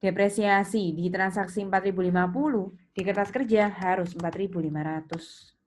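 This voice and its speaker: Casting: female lecturer, Indonesian accent, medium pitch, explains step by step with self-answered questions; noisy recording with typing behind. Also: steady tone, normal speed, darkish tone. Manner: instructive, poses questions then answers them. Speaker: accounting lecturer. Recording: clearly noisy, with keyboard clicks.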